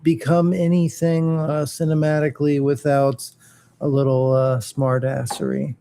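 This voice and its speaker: A man's voice: fairly high pitch, little pitch movement, unhurried, creaky, mellow, a little hiss or hum. A man co-hosting a casual talk show, hesitant with frequent fillers. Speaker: co-host. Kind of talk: casual chat.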